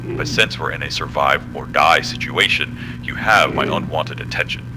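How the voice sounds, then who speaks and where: A man's voice: very deep voice, moderate pace, over music. A man playing a blunt, commanding, hard-nosed military general in an audio drama. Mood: angry, stern.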